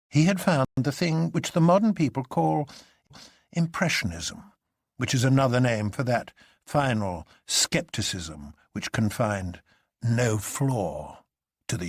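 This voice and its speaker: A man narrating literary fiction as an audiobook, with expressive, dramatic and deliberate phrasing. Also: mid-range voice, husky, dry recording, studio quality.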